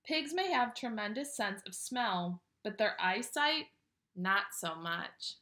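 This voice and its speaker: A woman reading a children's picture book aloud with expressive, dramatic storytelling delivery. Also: medium pitch, takes it slowly.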